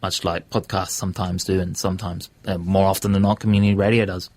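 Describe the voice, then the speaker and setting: A man's voice: deep voice, level tone, fast talker, darkish tone. An Australian man speaking casually as an interview guest, earnest and thinking aloud as he gives his views. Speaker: interview guest, community radio presenter and podcaster.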